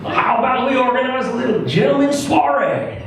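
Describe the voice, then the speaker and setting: A man's voice: extremely high pitch, lively intonation, fairly quick, creaky, pitched above the performer's own voice, heavily acted. A man voicing a leathery older carnival worker in a live story reading, amused and menacing with mock-genteel politeness. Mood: sly, amused. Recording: roomy hall, distant mic, faint noise.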